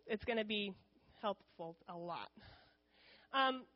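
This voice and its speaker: A woman speaks to her church congregation about missionary work in a frank, unpolished, conversational way, often pausing on "um." Her voice sits at a medium pitch. The recording is slightly dull and lo-fi. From United States. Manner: conversational, frequent ums, candid. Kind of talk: informal church missions presentation.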